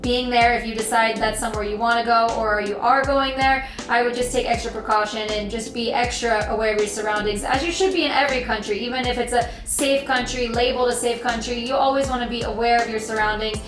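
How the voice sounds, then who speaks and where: A woman's voice: a little high, fairly even intonation, quick pace, few pauses, far from the mic. Earnest young American woman, echoey room. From United States. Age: young adult. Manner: earnest, rambling, repeats herself. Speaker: travel vlogger. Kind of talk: casual talk to camera. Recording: roomy echo, voice off-mic, music behind.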